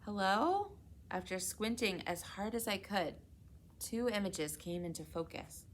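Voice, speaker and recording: A woman reading a children's storybook aloud in an expressive, measured way. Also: lowish voice, slight background noise. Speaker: children's read-aloud reader at a public library.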